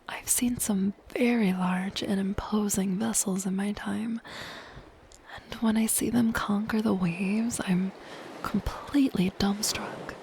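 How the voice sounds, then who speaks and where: Woman as a slow, whispering siren over surf. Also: medium pitch. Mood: curious, shy. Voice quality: breathy, soft, mostly whispered. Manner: slow, gentle, coyly possessive. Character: soft-spoken lonely siren, menacing yet shy and curious. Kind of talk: ASMR roleplay. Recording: ocean surf noise behind the voice. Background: ocean waves.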